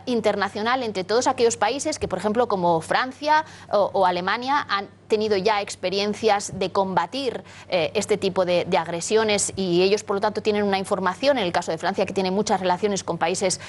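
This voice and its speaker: A woman from Catalonia speaking earnestly on a studio panel, restarting her sentences often and ending them with tag questions. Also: medium pitch. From Catalonia, Spain.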